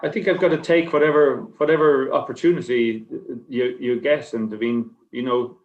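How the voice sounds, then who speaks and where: Halting man, slightly muffled sound. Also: mid-range voice, steady tone, a little mellow. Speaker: writer on a festival panel. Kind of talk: casual, reflective panel conversation. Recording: slightly dull, lo-fi connection.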